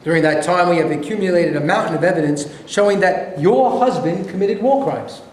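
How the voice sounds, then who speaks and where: A man's voice: high-pitched, brisk, natural voice. A man acting the part of a formal, insistent government investigator in a staged reading of a play. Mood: firm, pressing. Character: firm, formal male government war-crimes investigator. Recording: very echoey room, light noise.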